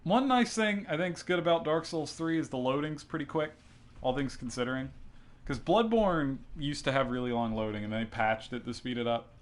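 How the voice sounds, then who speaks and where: A man's voice: a little high, moderate pace. A man giving casual, profane let's play commentary, explaining the game in an informal way as he plays. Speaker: gaming show host playing and explaining the game. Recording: loud game music behind the voice. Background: loud video game music.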